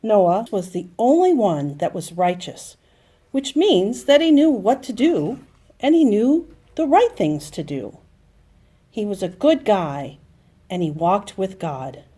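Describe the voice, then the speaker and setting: A woman telling a Bible story to young children, explaining in simple phrases with dramatic pauses and emphasis. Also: medium pitch, lively intonation, mellow, husky.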